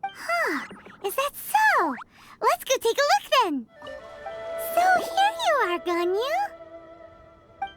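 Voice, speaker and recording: Squeaky, very high childlike fairy sidekick. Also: female voice, huge pitch swings, drawn-out words, pauses often, over loud music, exaggerated voice. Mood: curious. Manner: chirpy, childlike, exclaiming and curious. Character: tiny excitable flying fairy companion, childlike and chirpy. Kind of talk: video game voice acting.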